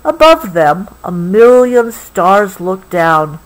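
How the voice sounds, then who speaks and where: A woman reads a picture book aloud to a child, gently adding explanations as she goes. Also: mid-range voice, sing-song, slow, poor audio. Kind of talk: read-aloud storybook with explanatory asides.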